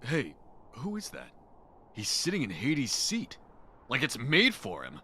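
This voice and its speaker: Man acting a haughty, bright-voiced anime guy, very animated. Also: mid-range voice, unhurried, slight background noise, exaggerated voice. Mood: indignant. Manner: theatrical, indignant. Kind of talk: anime fan dub acting.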